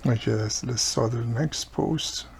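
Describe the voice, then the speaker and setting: A man's voice: mid-range voice, measured pace, gravelly, a little hiss or hum. A man giving a casual hands-on repair demonstration, explaining each step plainly as he works. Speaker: radio repairer and video host.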